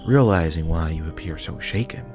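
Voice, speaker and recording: Deep voiced guy narrating an asmr story in second person, faint music behind. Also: expressive, moderate pace.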